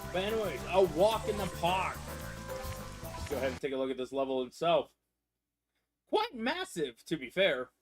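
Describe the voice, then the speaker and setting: A man's voice: on the high side, big pitch swings, moderate pace, pauses often. A man with a stuffy head cold giving rambling, casual commentary as he streams a game. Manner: rambling, loose and chatty, with frequent restarts. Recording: loud game music behind voice.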